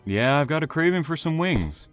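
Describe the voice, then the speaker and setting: A man's voice: on the high side, animated, moderate pace, faint music behind, natural voice. A man playing a sinister serial killer in an audio drama, with a patronizing, falsely friendly manner. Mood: casual. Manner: soothing, patronizing menace, casual with friends.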